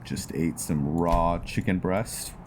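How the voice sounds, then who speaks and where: A man giving casual gameplay commentary mixed with story narration, halting and thinking aloud as he goes. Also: low voice, a little hiss or hum. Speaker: host of a gaming roleplay series. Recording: music behind the voice. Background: music.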